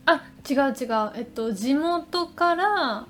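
High-voiced, eager woman podcaster over faint music. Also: expressive, takes it slowly. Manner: eager, insistent, talkative. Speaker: podcast co-host. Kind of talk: casual chat.

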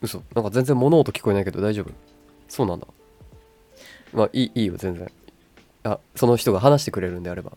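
A young adult woman chatting casually as a radio co-host in a calm, boyish, wry manner. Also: a bass voice, fast talker, pauses often, soft background music. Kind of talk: casual chat.

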